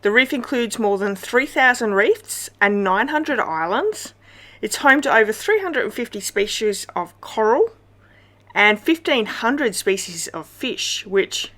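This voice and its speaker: A middle-aged Australian woman talking through a papercraft project in a relaxed chatty way, with plenty of ums and small self-corrections. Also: mid-range voice. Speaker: papercraft demonstrator. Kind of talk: casual craft tutorial chat.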